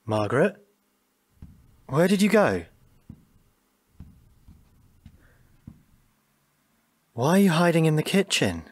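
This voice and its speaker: An actor playing a gentle, anxious husband in a radio drama, speaking in a hesitant, halting way. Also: male voice, on the high side, big pitch swings. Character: gentle, patient, anxious husband pleading with his partner.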